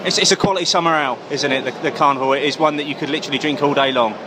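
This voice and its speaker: High-voiced man at a festival. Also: very fast, background noise. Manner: stammering, upbeat. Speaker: podcast co-host. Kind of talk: casual chat. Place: outdoors at a beer festival.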